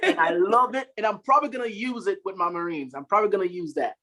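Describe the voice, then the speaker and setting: A man's voice: sits high, fast, pushed and bright. A man in his thirties from Haiti, a guest on a podcast, talking casually in an earnest, upbeat way and laughing easily. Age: 30s. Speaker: podcast guest, Marine staff sergeant. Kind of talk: casual interview talk.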